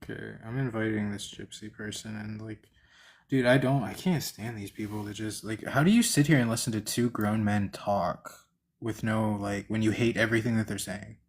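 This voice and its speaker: Low-voiced man in casual debate. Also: fairly even intonation, normal speed. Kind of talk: casual live-stream debate chat.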